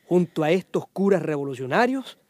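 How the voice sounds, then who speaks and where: Wry folksy male storyteller, animated high voice, conversational, emphatic, dry sound, radio drama. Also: fairly quick, dry recording. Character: chatty, wry male storyteller explaining history to listeners. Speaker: narrator.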